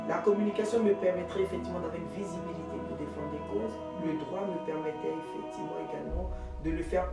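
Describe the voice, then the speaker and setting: A woman in her forties from Ivory Coast, a guest telling her career story in an animated way, with many fillers and restarts. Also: on the low side, echoey room, distant mic. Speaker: guest, jurist and teacher. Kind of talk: interview answers.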